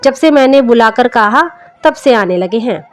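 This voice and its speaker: A woman voicing a caring young wife who gently chides, in a story reading. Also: on the high side, expressive, measured pace. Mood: pleased. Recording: music under the voice.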